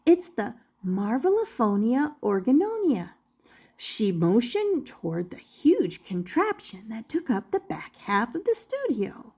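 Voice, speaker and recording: Slow, very animated older woman storyteller. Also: fairly high pitch, slightly rough. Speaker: storyteller reading aloud to children. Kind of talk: expressive children's picture-book narration.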